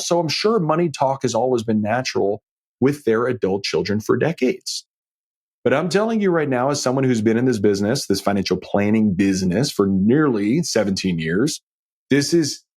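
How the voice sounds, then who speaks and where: A man with a slightly husky voice speaks as a financial planner hosting his own podcast. His pitch moves up and down in a lively way as he makes his points. He is conversational and emphatic, folksy, and keeps checking in with the listener. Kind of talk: conversational solo podcast advice.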